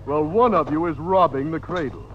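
An actor playing an incredulous, officious airline ticket official who is easily exasperated, in a vintage radio comedy. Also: male voice, on the high side, moderate pace, heavy background noise, lightly acted. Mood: skeptical. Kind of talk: 1950s radio comedy acting. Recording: muffled old radio recording.

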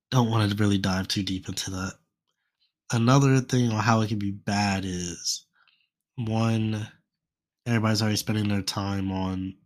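A young man with a stuffy, congested nose talks through a solo podcast in a loose, rambling way, trailing off into fillers. His voice sits low and barely moves in pitch. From United States. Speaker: podcast host. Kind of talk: casual solo podcast talk.

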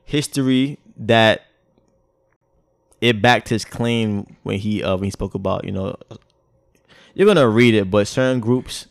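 A man's voice: fairly low pitch, a little slow, gravelly, pauses often, dry recording, clean studio sound. A man co-hosting a podcast, talking in a slangy, reflective way with lots of hesitant restarts. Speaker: podcast co-host. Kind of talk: casual podcast chat.

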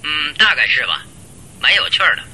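An actor playing a jovial, teasing, hearty detective in a radio drama, heard through a telephone effect. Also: male voice, on the high side, very animated, fairly quick, noisy background. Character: jovial, teasing detective calling his friend. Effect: telephone filter.

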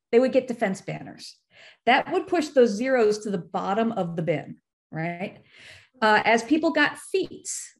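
High-voiced woman explaining things methodically. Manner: methodical, detailed step-by-step explaining. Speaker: podcast guest. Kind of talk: explanatory interview talk.

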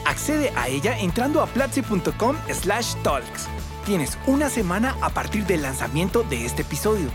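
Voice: mid-range voice, big pitch swings, normal speed, music in the background. An announcer reading a scripted outro for a podcast, addressing listeners directly.

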